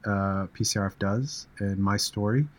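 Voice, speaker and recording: Earnest middle-aged American man, low voice. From United States. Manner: earnest, measured, frequent fillers. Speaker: charity founder, guest. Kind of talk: podcast interview.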